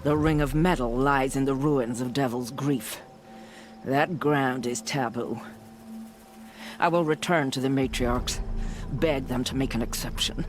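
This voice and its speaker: A man playing a stern war chief in a game cutscene, solemn and measured, giving orders with weight. The voice sits at a middle pitch with faint music behind it. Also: lightly acted. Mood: grave. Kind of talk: video game cutscene acting.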